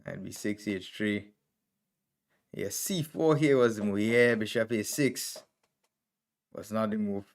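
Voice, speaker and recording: Chess master with a Trinidadian accent, a man with a lowish voice, thinking aloud through moves in short bursts. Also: slow, long pauses. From Trinidad and Tobago. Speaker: chess master streaming game reviews. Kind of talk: live commentary on chess games.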